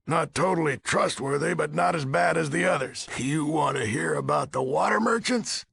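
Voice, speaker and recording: An actor playing a gruff, blunt, folksy old military leader in a video game, with a gravelly, husky voice. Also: male voice, a little high, normal speed, a bit bright, dry recording, exaggerated voice. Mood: blunt, wry. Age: older. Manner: blunt, folksy, swearing, easily exasperated. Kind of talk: video game character dialogue.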